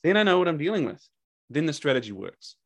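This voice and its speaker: A man's voice: on the high side, big pitch swings, quick pace, a bit bright. A man with a New Zealand accent, a podcast guest and coach, talking candidly and energetically, swearing freely and repeating words for emphasis. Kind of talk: casual podcast conversation.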